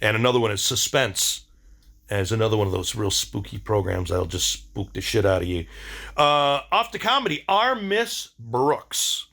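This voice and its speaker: A man's voice: lowish voice, very animated, normal speed, gravelly, a bit bright. A man giving a casual, rambling diary-style monologue, enthusiastic and informal, with some slang. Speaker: video diary host.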